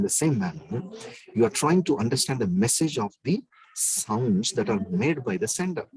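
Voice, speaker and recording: A man with a deep bass voice, lecturing an online class in an emphatic way and repeating key phrases. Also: slightly rough, rough recording.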